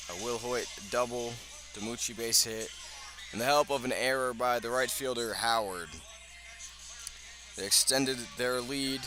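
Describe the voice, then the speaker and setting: A man calls a baseball game as a radio play-by-play announcer. His voice is bright and mid-pitched, and he speaks in clipped phrases with pauses. Faint music sits behind him. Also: rough recording. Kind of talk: live radio baseball commentary.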